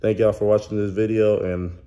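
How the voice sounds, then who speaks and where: A young man vlogging casually to his phone in a hotel room, with a relaxed slangy delivery full of ums. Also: fairly low pitch, a little fast, background noise. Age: young adult. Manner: laid-back slangy talk with many ums. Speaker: college basketball player vlogger. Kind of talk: casual vlog to camera. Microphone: phone held at arm's length.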